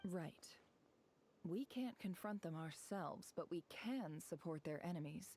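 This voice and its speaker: A woman voicing a ruthless, bitter desert tribeswoman in a video game, speaking in a cold, deliberate, contemptuous way. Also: on the low side, expressive, darkish tone. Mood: cool, calculating. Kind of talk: dramatic video game voice acting.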